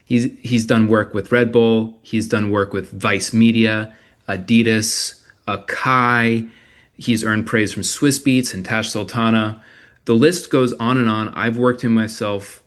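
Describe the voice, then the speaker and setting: A man with a fairly low voice hosts an online panel discussion. He introduces each guest with enthusiasm and strings together long questions with several parts. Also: steady tone, measured pace. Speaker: panel moderator.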